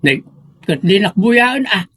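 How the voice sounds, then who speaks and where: A man acting a stubborn, bossy old family father in a comedic audio drama, grumbling in an Ilocano accent with a husky voice. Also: sits high, expressive, a little slow, fairly bright tone, a little hiss or hum. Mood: irritated.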